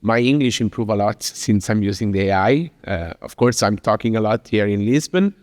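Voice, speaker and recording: A man's voice: lowish voice, moderate pace, darkish tone, no echo, studio quality, long reverb tail. A man from Italy with an Italian accent, giving a chatty, informal presentation in English with many fillers and restarts. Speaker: speaker at a business talk. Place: venue in Lisbon.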